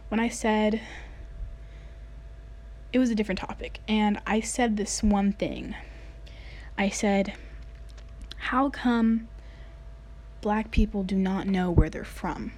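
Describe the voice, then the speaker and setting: A woman's voice: medium pitch, slow, pauses often, a little mellow. A woman in her late teens from the United States, earnestly telling a personal story in a casual way, with many "like" fillers. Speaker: vlogger.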